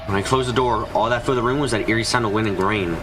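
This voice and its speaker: A man's voice: lowish voice, rapid-fire, music in the background, natural voice. A man voicing an anxious, frightened narrator trapped and desperate, in a first-person visual novel fan dub. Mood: uneasy, brooding. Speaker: voice actor narrating.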